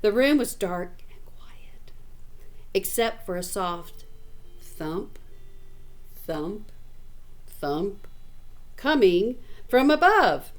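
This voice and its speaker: A woman reading a picture book aloud in an animated, expressive way, with chatty asides to the listener. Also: mid-range voice, extremely slow, long pauses. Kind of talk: animated storybook read-aloud with casual asides.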